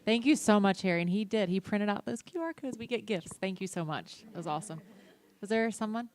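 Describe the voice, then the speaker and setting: A woman's voice: mid-range voice, animated, brisk, a little mellow, a little hiss or hum. A woman moderates an open forum. She is upbeat and friendly, thanks each speaker and invites the next to the microphone. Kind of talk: moderating a discussion.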